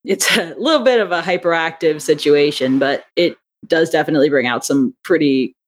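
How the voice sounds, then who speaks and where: A woman in a casual interview chat, talking in an animated way and acting out bits of quoted thought as she explains her creative work. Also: low-pitched, fairly quick. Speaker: interview guest, audio drama writer and director.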